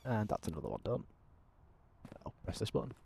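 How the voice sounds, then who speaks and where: A man chats casually over his gameplay, his voice low-pitched and mellow. He calls his own delivery monotone, and it is dotted with ums and fillers as he narrates what he does on screen. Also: a little fast, creaky, a little hiss or hum. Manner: self-described monotone, frequent ums. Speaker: gaming channel host.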